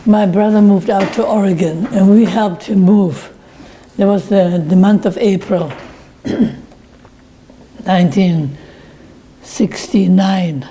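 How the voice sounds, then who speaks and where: A woman's voice: mid-range voice, slow, pauses often, mellow, slight background noise, low-quality recording. An older woman from Germany, a winery owner, telling in a plain, storytelling way how she came to America. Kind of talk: oral history interview.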